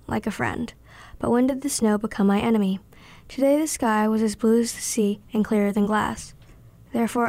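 Teen girl reading her short story, higher pitched, bit of vocal fry. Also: darkish tone, dry recording. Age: teenager. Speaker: young writer reading own story.

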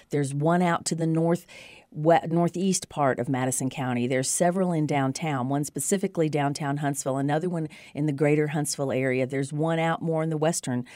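A woman's voice: sits low, a little mellow, no echo, clean studio sound. A woman on local radio giving an informative, conversational rundown of community events with emphatic, promotional phrasing.

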